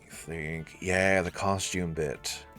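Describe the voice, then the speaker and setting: Low-voiced, laid-back male let's player. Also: steady tone, slow, fairly bright tone, faint music behind. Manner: laid-back, wry asides. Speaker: video game let's player. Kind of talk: casual commentary and read-aloud narration.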